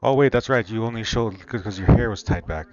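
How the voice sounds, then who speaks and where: A man's voice: lowish voice, fairly quick. A man chatting casually during a game stream, hesitant and repeating his words, on a dull mic with music behind.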